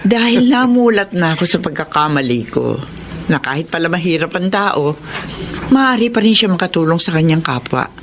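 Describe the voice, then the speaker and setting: A woman's voice: lowish voice, expressive, moderate pace, slight background noise, close to an everyday voice. A woman with a Filipino accent acting a plain-spoken village wife in a radio drama. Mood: earnest. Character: Perla, a plain-spoken village wife who grows kindhearted.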